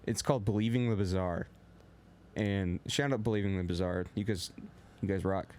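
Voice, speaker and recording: Young male co-host, lower voice, loud and rambling, casual podcast chat. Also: fairly even intonation, normal speed. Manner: loose and rambling, heavy on filler words; talks a little loud.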